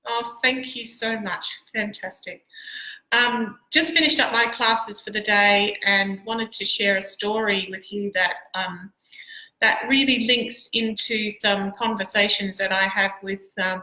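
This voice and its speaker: A woman's voice: mid-range voice, sharp tone. A middle-aged Australian woman, a piano teacher, chatting casually to livestream viewers in a hesitant, stop-start way. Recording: roomy, echoey livestream sound.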